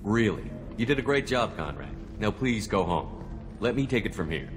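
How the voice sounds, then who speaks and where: A man playing a confident military commander in a video game, calm, firm and direct. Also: on the low side, moderate pace, music in the background. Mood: assured, persuasive.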